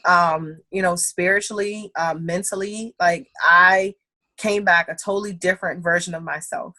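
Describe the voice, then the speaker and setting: Young woman guest, bright voice, slangy and animated. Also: on the low side, normal speed, no echo. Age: young adult. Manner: animated, laughs often, slangy. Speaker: podcast guest. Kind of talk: casual chat.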